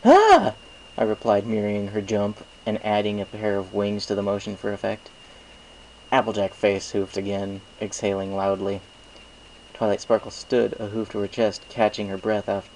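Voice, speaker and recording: A man's voice: lowish voice, creaky, darkish tone, a little hiss or hum, rough recording. A man reading first-person fiction as an amateur audiobook narrator, now and then stumbling over phrases.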